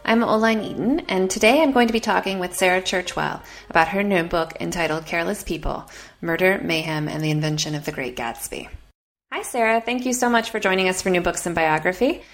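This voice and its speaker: A woman's voice: on the low side, fairly quick, faint music behind. A woman hosting a podcast, reading a polished introduction and asking interview questions.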